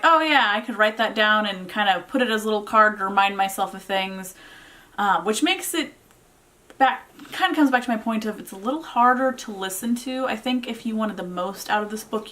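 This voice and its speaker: Female vlog reviewer, mid-pitched voice, conversational and unscripted, informal delivery that thinks out loud. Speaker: book reviewer, vlog host. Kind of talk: casual unscripted review talk to viewers.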